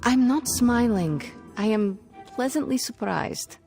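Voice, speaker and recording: A woman playing a gloomy young sorceress in a video game with dry, deadpan wit. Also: a little high, takes it slowly. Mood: dryly amused. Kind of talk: video game cutscene acting. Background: music.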